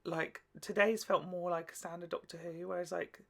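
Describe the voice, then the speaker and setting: A man's voice: high voice, fairly even intonation, normal speed, vocal fry, fairly bright tone. A young British man hosting a reaction video in casual chat, with a slangy, playful, emphatic way of talking. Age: young adult. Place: small furnished room at home, indoors. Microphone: large desktop microphone between two speakers.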